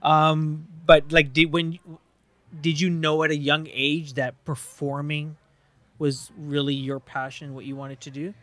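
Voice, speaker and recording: A man's voice: a little high, little pitch movement, unhurried, a bit bright. A man hosting a podcast from a hotel bar, asking friendly questions with short interested prompts. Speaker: podcast host. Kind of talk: casual interview chat.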